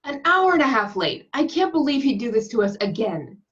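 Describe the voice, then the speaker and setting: Woman playing an animated, exasperated TV actress. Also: a little high, brisk, dull audio. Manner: complaining, sarcastic. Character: exasperated, sardonic television sci-fi actress. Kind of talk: amateur table read of a film comedy script.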